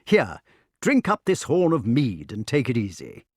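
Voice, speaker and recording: A man's voice: medium pitch, wildly swooping pitch, unhurried, husky, no room sound, studio quality, full character voice. A man voicing a practical middle-aged lord in a storybook, with a soothing but commanding manner.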